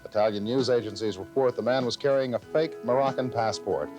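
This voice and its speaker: A man's voice: medium pitch, fairly quick, over music. A man co-anchoring a television newscast with scripted studio delivery. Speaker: news co-anchor. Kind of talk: scripted TV news reading with brief co-anchor banter. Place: TV news studio.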